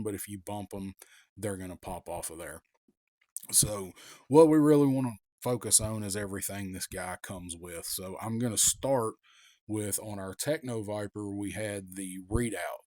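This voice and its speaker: A man with a cold, raspy, giving a casual, rambling toy review with many ums and restarts. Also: on the low side, a little mellow, no room sound, clean studio sound.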